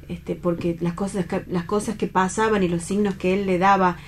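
An Argentine woman in a casual radio discussion, speaking hesitantly and pausing to search for words. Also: lowish voice, little pitch movement, slight background noise. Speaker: radio panelist.

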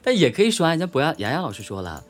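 A man co-hosting casually, effusive and quick to chime in. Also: fairly high pitch, wildly swooping pitch. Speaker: live-stream co-host. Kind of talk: casual live hosting banter. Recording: faint music behind the voice. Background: background music.

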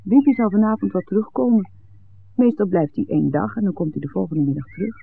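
An actor playing a frank young villager from a smuggling family, talking informally in a 1960s radio play. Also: male voice, sits high, fast talker, creaky. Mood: matter-of-fact. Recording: tinny old radio recording with noise.

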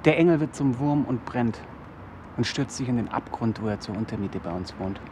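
A man's voice: medium pitch, animated, brisk, background noise, close to an everyday voice. An actor playing a weary, defiant young homeless male hustler in a radio drama, brooding and poetic. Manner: brooding, poetic, terse in dialogue.

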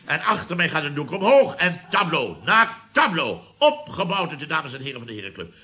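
An actor with a Netherlands Dutch accent playing a pompous middle-aged company boss in a radio comedy, blustering and repeating himself. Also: male voice, fairly high pitch, big pitch swings, fairly quick, bright, forceful tone. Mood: outraged. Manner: blustering, repetitive, pompous catchphrases. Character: blustering, self-important male boss of a building firm. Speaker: company boss and club chairman. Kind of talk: 1970s radio comedy acting.

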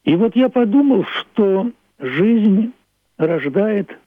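Elderly male author for radio interview, measured and literary, slightly high-set voice with a husky edge, thin phone-quality sound. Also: lively intonation, no echo. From Russia (Leningrad). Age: 80s. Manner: measured, thoughtful, literary phrasing. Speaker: playwright and author, interviewed about his play. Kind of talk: reflective radio interview monologue. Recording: muffled narrow phone-like line.

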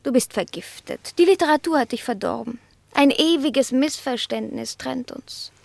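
Radio drama cat: haughty and jealous, higher-pitched yet husky, theatrical delivery sinking toward whispers, close dry sound. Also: female voice, expressive, moderate pace, a little mellow, no room sound, heavily acted. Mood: disdainful. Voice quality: husky, creaky. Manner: mocking, theatrical, often breathy near-whisper. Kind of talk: literary radio play acting.

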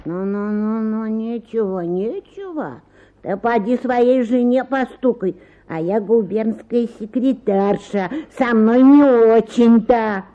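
A woman's voice: on the high side, takes it slowly, highs cut off. An actress playing a whining, stubborn, middle-aged petitioner woman who pleads helplessness in a plaintive, folksy radio play manner. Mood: defiant, indignant. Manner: plaintive, pestering, folksy old-fashioned speech. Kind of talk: theatrical radio play acting.

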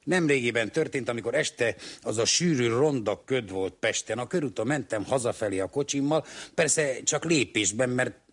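A man's voice: mid-range voice, lively intonation, natural voice. An actor playing a mock-serious, long-suffering man complaining about his troubles in an archival radio comedy sketch. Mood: aggrieved. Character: older male believer in socialism telling a grievance.

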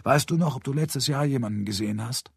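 A man voicing a calm, stern man in an audiobook, putting quiet, measured, probing questions. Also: mid-range voice, vocal fry, slightly rough, no echo, studio quality. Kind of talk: audiobook character voice.

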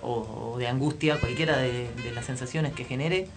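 A man's voice: medium pitch, normal speed, over music. A man with an Argentine accent hosting a radio show in a casual, chatty way.

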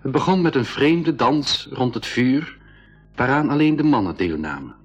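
An actor playing a nephew on a jungle expedition in a radio play, also telling the story, in a measured, inquisitive way. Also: male voice, mid-range voice, expressive, slightly rough, muffled recording, faint music behind. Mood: measured, storytelling. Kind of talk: 1980s school radio play acting and narration.

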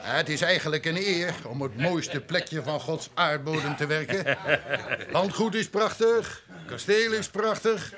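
A man's voice: fairly high pitch, measured pace, a crowd in the background, lightly acted. An actor playing a jovial, boastful middle-aged innkeeper and jealous father in a radio drama, garrulous and exclamatory. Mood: jovial, proud. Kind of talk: Dutch radio drama acting.

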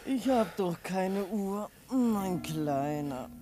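A woman's voice: mid-range voice, animated, extremely slow. Coy, teasing young dockside lady. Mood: languid. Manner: teasing, coquettish. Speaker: actor. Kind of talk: German radio play acting.

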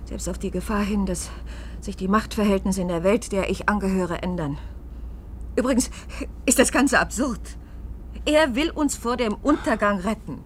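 Actress playing a sharp-tongued woman journalist, mid-pitched, ironic, strained, German radio play, faintly hissy old recording. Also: close to an everyday voice. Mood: sardonic. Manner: ironic, challenging, quick-witted. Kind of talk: German science-fiction radio drama, dialogue and narrated recollection.

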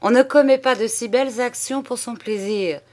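A woman's voice: a little high, normal speed, voice pushed up, lightly acted. A woman voicing a proud, hot-tempered old male aristocrat who speaks with haughty irony and bursts into anger, read in an audiobook. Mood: ironic, scornful. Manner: haughty and ironic, flaring into shouts.

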